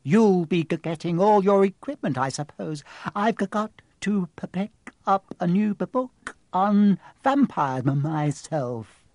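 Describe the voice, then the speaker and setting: A man's voice: sits high, lively intonation, unhurried, darkish tone, no room sound, pitched above the performer's own voice. A jittery young teacher from a storybook, stumbling over his words in a nervous stammer, as a man acts him out. His raised voice wavers between lifts and falls, set close and dry. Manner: heavy stammer.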